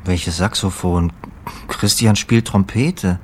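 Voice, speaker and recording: A weary, apologetic, pious man in a radio play, low in pitch with faint noise behind. He speaks hesitantly and evades gently. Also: slow pace. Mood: subdued, sad. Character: withdrawn, pious, apologetic male friend, evasive and weary. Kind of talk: German radio drama acting.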